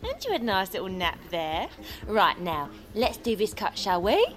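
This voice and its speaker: A British woman playing a chatty, bossy hairdresser in an audio comedy drama, gushing, familiar and brisk. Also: medium pitch, huge pitch swings, fairly bright tone. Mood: chatty, cheerful.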